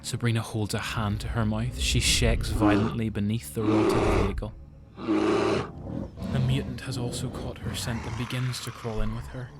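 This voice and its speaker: Music plays clearly behind a male narrator with a Northern Irish accent. He tells a dramatic scripted story in deliberate, suspenseful phrases. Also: on the low side, little pitch movement.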